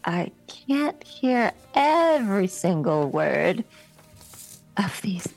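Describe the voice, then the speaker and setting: A woman's voice: medium pitch, sing-song, drawn-out words, gravelly, a little mellow, faint music behind. A woman playing in a tabletop roleplay, with wry, dry humor. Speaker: player.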